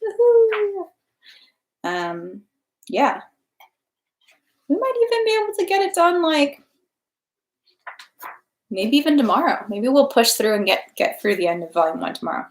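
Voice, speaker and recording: A woman's voice: high voice, very animated, measured pace, pauses often. A woman hosting a read-aloud livestream, speaking informally in an echoey indoor room. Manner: informal, rambling, self-interrupting. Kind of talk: casual livestream chat, then audiobook-style reading.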